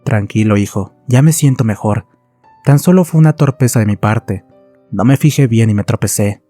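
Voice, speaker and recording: Man voicing an ailing, soothing mother, mellow. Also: on the low side, moderate pace, no echo, faint music behind, lightly acted. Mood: reassuring. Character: ailing mother, secretive and soothing toward her son.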